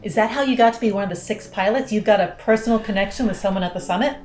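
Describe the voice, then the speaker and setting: A woman's voice: mid-range voice, very fast, reverberant, close to an everyday voice. A woman playing a cocky, sardonic pilot in an audio drama, with a dry, blunt, teasing delivery. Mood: wry, probing. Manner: dry, sarcastic, blunt, foul-mouthed teasing. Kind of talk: naturalistic audio drama dialogue.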